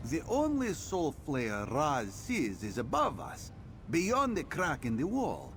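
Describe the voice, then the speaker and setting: An actor playing a sly, thoughtful cat-folk scout in a video game, theatrical and musing in manner. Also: male voice, fairly high pitch, huge pitch swings, takes it slowly, background noise, full character voice. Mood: musing, puzzled. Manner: theatrical, musing, refers to himself in third person. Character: sly, thoughtful cat-folk male scout, speaks of himself in third person. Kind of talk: video game character dialogue.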